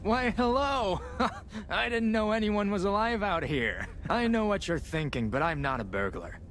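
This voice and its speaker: A man's voice: high voice, expressive, measured pace, fairly bright tone, slight background noise. A man playing a jovial, shifty survivor in a video game, effusive and eager to disarm. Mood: jovial, nervous. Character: jovial, shifty male survivor squatting in ruins. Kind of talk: video game character acting.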